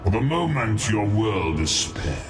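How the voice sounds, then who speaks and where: A man's voice: on the low side, big pitch swings, measured pace, slight background noise. A man playing a scheming demon lord in a fantasy game, pompous, mocking and menacing toward mortals. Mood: gloating menace. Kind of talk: scripted fantasy game villain dialogue.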